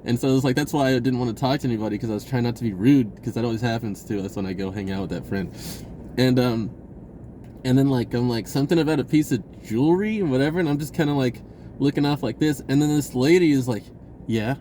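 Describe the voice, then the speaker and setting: A man's voice: mid-range voice, fast talker, dark tone, slight background noise. A man telling a personal story in a casual, rambling, slangy way and acting out bits of dialogue. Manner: informal slangy speech, acts out dialogue. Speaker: vlogger recounting personal experiences.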